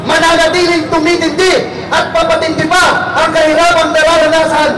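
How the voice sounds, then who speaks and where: A man's voice: very high-pitched, monotone, normal speed. A man with a Filipino accent giving a fiery, declamatory political speech as a protest rally speaker. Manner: declamatory, rallying the crowd with questions. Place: outdoor street rally. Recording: echoey outdoor loudspeaker, distant, loud crowd.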